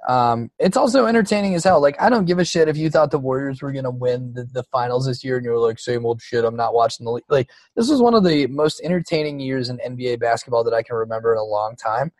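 A man's voice: medium pitch, quick pace, hardly any pauses, darkish tone, no room sound, poor audio. Dry-voiced man in loose sports chat. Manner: loose, rambling, profane. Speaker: podcast co-host.

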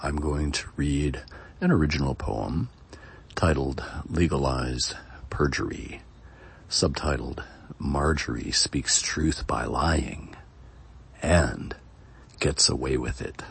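A man with an extremely deep, heavily rasping voice introduces his poetry. He speaks slowly and deliberately, with halting phrasing. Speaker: poet and podcast host reading own work. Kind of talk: spoken introduction to a dramatic reading.

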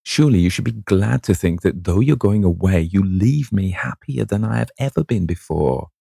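A lovestruck young actress, breathless and rapturous, as a man puts her on. Her lines float up well above a husky low reading voice, warm and dark in tone. The recording is close and dry. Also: animated, normal speed, no echo, heavily acted. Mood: coaxing.

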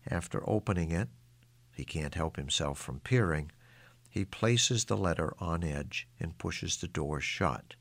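A man's voice: sits low, unhurried, creaky, a bit bright, dry recording. A man reading his own literary short story aloud as a scripted narration.

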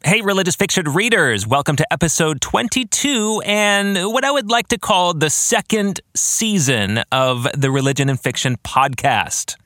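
A man hosting a podcast solo, speaking in an enthusiastic, deliberate, emphatic way with casual asides. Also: fairly high pitch, sharp tone, dry recording, studio quality. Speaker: podcast host and novelist. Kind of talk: scripted-sounding podcast monologue with casual asides.

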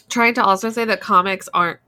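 A woman's voice: mid-range voice, pushed and bright. A woman talking casually and reflectively as a podcast co-host.

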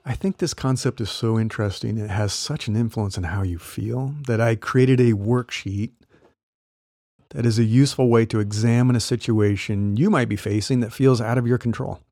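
A man who works as a career coach, talking through ideas in a relaxed, explanatory way with small laughs, his voice a little worn as he says he is losing it. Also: mid-range voice, moderate pace, no room sound, clean studio sound. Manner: conversational, explanatory, light laughs. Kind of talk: solo podcast talk.

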